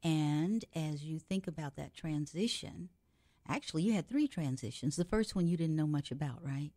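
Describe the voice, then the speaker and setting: Woman host, low mellow voice, chatty and reflective interviewer, dry close-miked sound. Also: expressive, normal speed, no room sound. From United States. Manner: chatty, reflective, adds personal anecdotes. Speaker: radio show host. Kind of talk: conversational interview.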